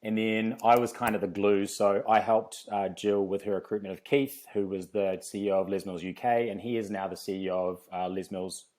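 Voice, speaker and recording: A man's voice: lowish voice, little pitch movement, a little fast, few pauses, darkish tone. A man with a New Zealand accent, telling his story casually and chattily as an interview guest. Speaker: podcast guest, fitness company founder.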